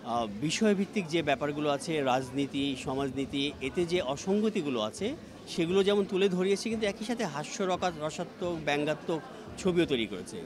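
Middle-aged Bangladeshi man, slightly high voice. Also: expressive, fairly quick. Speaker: interviewee, exhibition organizer. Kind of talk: explanatory interview. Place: busy indoor gallery. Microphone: handheld reporter microphone. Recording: busy crowd noise around voice.

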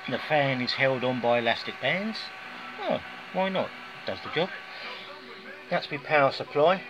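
A man's voice: medium pitch, animated, a little slow. A man with a British accent, casually chatting through a homemade gadget demonstration while filming on his phone in a garage. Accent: British English. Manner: chatty and rambling, with folksy asides. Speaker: hobbyist vlogger. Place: indoors, garage or workshop floor. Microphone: handheld phone filming. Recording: dull lo-fi phone audio with clear background noise.

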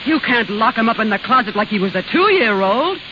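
A woman's voice: fairly high pitch, very animated, brisk. An actress playing a tough, defiant young carnival woman in a 1930s radio drama, slangy and combative. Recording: scratchy, dull 1930s radio broadcast with hiss.

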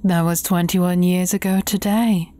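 A woman playing a menacing, gloating evil fairy sorceress in a fairy-tale audio drama, taunting deliberately and often dropping near a whisper. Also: lowish voice, little pitch movement, warm tone, lightly acted. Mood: cold menace.

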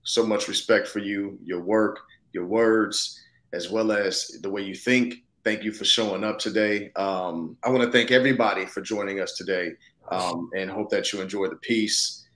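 A man with a fairly low voice talks on a video call as an interview guest. He is reflective and informal, thinking aloud and often checking that the listener follows him. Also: fairly even intonation, normal speed, a bit bright. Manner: thoughtful, casual, punctuated with "you know what I'm saying". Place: indoor room, video call. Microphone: video call, seated close to the camera.

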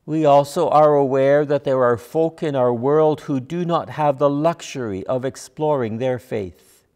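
An older man, a minister, leads a pastoral prayer from a church pulpit in measured, solemn phrases. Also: medium pitch, darkish tone. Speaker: minister leading worship.

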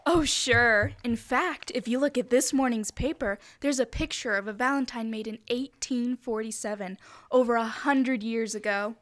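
A reasonable suburban mother in a vintage radio comedy, played by a teenage girl. She speaks in a fairly high voice and gently keeps her family in line. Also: moderate pace, close to an everyday voice. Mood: gently chiding. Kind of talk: old-time radio comedy acting. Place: school library. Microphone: standing stage microphone.

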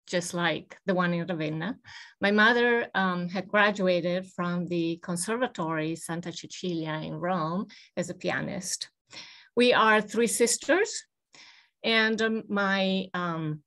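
An older woman from Italy recounting her path into science on a video call, thoughtful and measured, with frequent hesitant pauses. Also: mid-range voice, fairly bright tone. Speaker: guest speaker. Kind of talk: personal history talk on a video call. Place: home office with bookshelves, indoors. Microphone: video call webcam.

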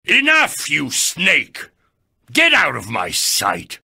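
An actor playing a stern, righteous old gunslinger turned preacher in a video game, speaking in solemn, declamatory biblical phrases. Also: male voice, on the high side, huge pitch swings, slow pace, gravelly, bright, forceful tone, breathy edge, no echo, clean studio sound, full character voice. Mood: righteous fury. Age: older.

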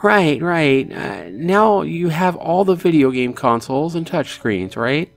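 A man's voice: a little high, lively intonation, measured pace, gravelly, soft background music, pitched above the performer's own voice. A man voicing a doting, fussing middle-aged mother in a visual novel, cajoling and theatrical. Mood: chiding, cheerful.

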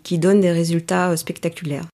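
A middle-aged woman, a coach, answering interview questions in a calm, measured way with frequent hesitations. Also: on the low side. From France. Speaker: coach, guest. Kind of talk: podcast interview.

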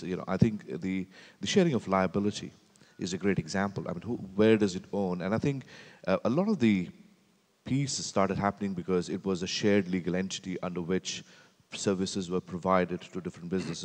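A man on a conference panel jumping into the talk quickly, with fillers. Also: sits low, darkish tone. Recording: long hall reverb.

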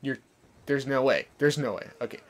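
A young man giving casual, chatty commentary over his gameplay, explaining tips as he plays. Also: normal speed. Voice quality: deeper voice. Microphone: desk condenser mic. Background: soft background music.

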